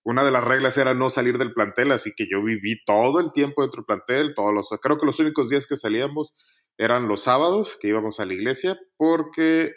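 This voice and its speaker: A man, a podcast guest telling his life story in a relaxed, casual way. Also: medium pitch, fairly quick. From Mexico.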